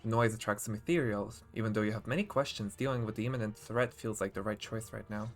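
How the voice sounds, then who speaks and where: A man's voice: fairly low pitch, quick pace. A man livestreaming a game, reacting casually and reading on-screen text aloud. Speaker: game streamer. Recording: faint game music behind.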